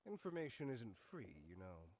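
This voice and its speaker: A man's voice: mid-range voice, sing-song, moderate pace, a little mellow, natural voice. Actor as a curt, wry male informant. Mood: guarded, wry. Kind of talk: game voice acting. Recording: game audio with faint noise.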